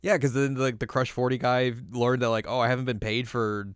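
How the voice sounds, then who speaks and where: A man with a clear, smooth, medium-pitched voice banters as a podcast co-host. His talk is casual and jokey. The recording is dry, with no room sound around him. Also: steady tone, no room sound. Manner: jokey, bantering, quick to laugh. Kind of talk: casual chat about movies.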